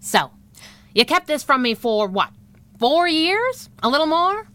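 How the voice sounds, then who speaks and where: A woman's voice: high-pitched, big pitch swings, unhurried, bright, forceful tone, full character voice. A woman playing a feisty, wisecracking masked heroine in a 1930s-style radio adventure, sassy and punchy with old slang. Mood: angry, sardonic.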